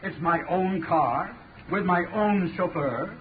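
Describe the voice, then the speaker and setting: High, blustering old gentleman. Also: slow. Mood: indignant, stubborn. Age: older. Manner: imperious, blustering. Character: indignant, fretful wealthy old man, stubborn father. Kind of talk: 1930s radio acting. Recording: tinny, noisy 1937 radio recording.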